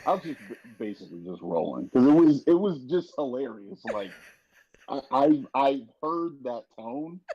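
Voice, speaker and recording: A man in a casual panel chat, talking haltingly with repeated and restarted phrases, over a slightly dull lo-fi connection. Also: medium pitch, sing-song, dark tone, husky. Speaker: guest on an audio drama after-show.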